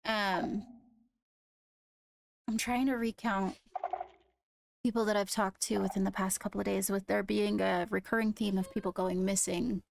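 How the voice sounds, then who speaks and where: A woman playing a caring, earnest ruler in an improvised Minecraft roleplay, speaking in a measured, hesitant, reassuring way. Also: medium pitch, level tone, gravelly, a little hiss or hum, natural voice. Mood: concerned, reassuring. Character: caring, earnest female ruler holding court. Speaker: player leading a roleplay court.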